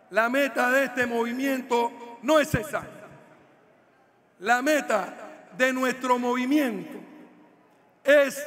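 A middle-aged man giving a political speech from a podium in a large hall, slow and emphatic, repeating his key phrases. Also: very high voice, a bit bright. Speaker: political speaker. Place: large indoor stage at a rally. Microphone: podium microphones. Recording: reverberant hall.

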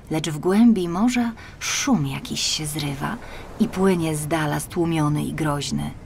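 Low-pitched woman narrating a fairy tale. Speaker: audiobook narrator. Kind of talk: expressive scripted children's storytelling. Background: music.

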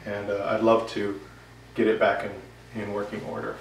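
A man's voice: fairly low pitch, completely flat delivery, moderate pace. Man chatting in a roomy workshop. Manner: rambling, conversational. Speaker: woodworking vlog host. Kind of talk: casual update chat to camera. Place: home workshop, indoors. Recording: roomy, distant, noisy workshop recording.

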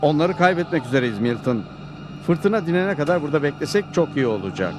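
Lively, confident cowboy hero. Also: male voice, a little high, fairly quick, background noise. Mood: focused. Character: calm, confident cowboy hero on the trail of outlaws. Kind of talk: dubbed cartoon acting.

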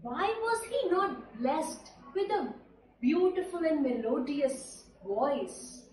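A young woman telling a children's story in a slow, sing-song way for a school assembly. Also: high voice, animated, darkish tone. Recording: echoey room, distant mic.

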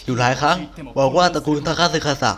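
A man voicing an earnest, defiant young clan son from a game, in a formal, halting reading. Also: a little high, a bit bright, pitched above the performer's own voice, lightly acted. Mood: defiant, accusing. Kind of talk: reading game dialogue aloud.

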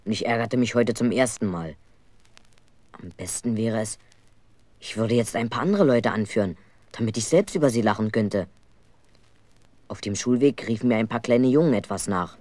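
A man's voice: fairly low pitch, normal speed, long pauses, a little mellow, no echo, lightly acted. An actor playing an earnest, aggrieved schoolboy who tells his own story in a 1970s German children's radio play.

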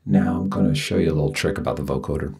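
A man with a very deep voice gives an informal software tutorial. There is plenty of gravelly fry at the edges, and the sound is dry. He wanders slightly and corrects himself with dry humour. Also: very fast, dry recording. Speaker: tutorial presenter.

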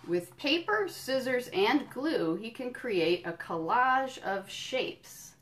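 A woman doing a children's storytime, reading a picture book in deliberate phrases and explaining things simply to young listeners. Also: fairly high pitch, sing-song, slight background noise. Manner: addresses young listeners directly with questions and encouragement. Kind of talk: children's storytime read-aloud with friendly asides.

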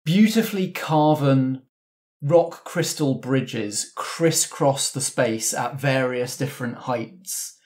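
Game master, man, unhurried descriptive narration, even middle pitch. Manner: slow, measured scene description.

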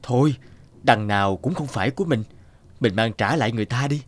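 With music playing underneath, this is a humble, worried husband from an animated moral tale, played by a man. His voice sits at a medium male pitch, and he speaks plainly and earnestly, with a gentle, conciliatory temper. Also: a little fast, no room sound, clean studio sound, natural voice. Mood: quietly insistent. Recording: music behind the voice.

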